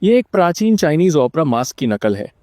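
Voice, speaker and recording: Kindly middle-aged male mentor: mellow, dry sound, measured and gently grave. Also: on the high side, very animated, no echo. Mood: curious, reflective. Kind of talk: Hindi-dubbed video game dialogue.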